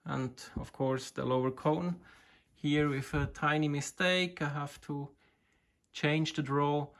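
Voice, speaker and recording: A man in his forties, a Swiss German native speaker with a Swiss German accent, hosting a casual hobby video in halting English with many fillers as he searches for words. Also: fairly high pitch, a bit bright. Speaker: model rocket hobbyist, channel host. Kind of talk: casual show-and-tell video.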